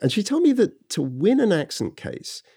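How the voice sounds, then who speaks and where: A man hosting a podcast, narrating and chatting in a measured, conversational way. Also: sits high, sing-song, gravelly, mellow, slightly rough. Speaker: podcast host. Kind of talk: scripted narration and chat.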